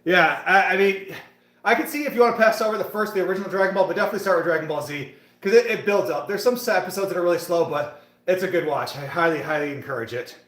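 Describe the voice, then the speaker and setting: A man's voice: high-pitched, fast talker, a bit bright, reverberant. A man in his late thirties hosting a casual fitness livestream, chatting and hyping up viewers between sets in a gym. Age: 30s. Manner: chatty, hyped, talks to viewers in the chat. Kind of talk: casual live-stream chat while working out.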